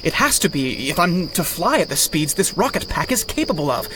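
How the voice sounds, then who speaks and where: An actor playing an earnest, indignant, headstrong inventor-hero in a 1930s-style radio drama. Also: male voice, fairly high pitch, brisk, slight background noise, rough recording. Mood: insistent. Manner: earnest, insistent, excitable.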